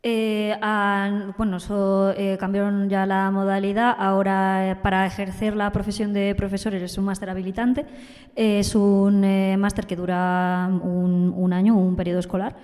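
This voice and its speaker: Young woman interview guest, mellow n monotone, kinda hesitant, echoey hall. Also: medium pitch. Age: young adult. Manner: hesitant, halting explanations. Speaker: student teacher, interview guest. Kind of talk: casual spoken interview. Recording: long hall-like reverb.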